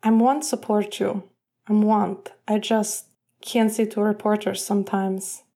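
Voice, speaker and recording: A woman reading aloud, voicing a nervous male Russian hockey player who speaks in broken, halting English. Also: medium pitch, flat delivery, mellow. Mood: pained, apologetic.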